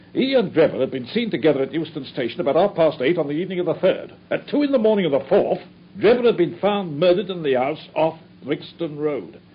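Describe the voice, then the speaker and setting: An actor playing a dogged, proud male police inspector recounting a case in a radio drama. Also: on the high side. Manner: earnest, storytelling, brisk. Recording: dull old radio recording with faint noise.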